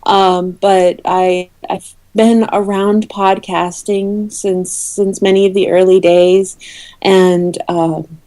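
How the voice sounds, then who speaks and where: Mellow-voiced woman explaining, often rephrasing. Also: mid-range voice, flat delivery, slow pace. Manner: hesitant, often rephrasing mid-sentence. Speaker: interview guest, awards committee head. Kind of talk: casual explanatory interview chat. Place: remote call into a podcast.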